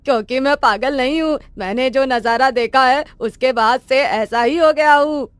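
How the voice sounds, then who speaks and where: A woman's voice: sits high, moderate pace, clear, resonant tone, dry recording, voice pushed up, natural voice. A woman voicing an agitated, crazed man who gives insistent, ominous warnings in a cartoon story.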